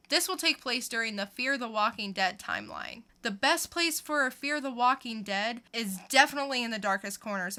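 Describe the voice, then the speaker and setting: A woman's voice: mid-range voice, bright, forceful tone. A woman co-hosting a podcast, reading scripted pop-culture news and adding dry, sarcastic punchlines. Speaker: podcast co-host.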